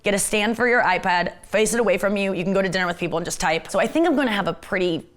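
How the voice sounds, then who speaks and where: A woman comedian with a husky, gravelly voice and strained vocal cords, chatting fast and candidly in an interview. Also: mid-range voice. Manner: rapid, candid, self-deprecating talk with many fillers. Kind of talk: casual fast-talking interview chat.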